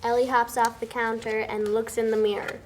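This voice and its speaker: A woman's voice: fairly high pitch, level tone, normal speed. A young woman reading screenplay directions aloud as the narrator of a table read. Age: young adult. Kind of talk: scripted narration. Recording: noisy room recording.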